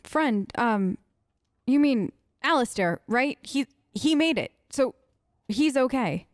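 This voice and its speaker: A woman gamer role-playing her character's dialogue off the cuff in a hesitant, halting, conversational way. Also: high voice, lively intonation, no echo, studio quality. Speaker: gaming let's player. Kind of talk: improvised in-character role-play of game dialogue.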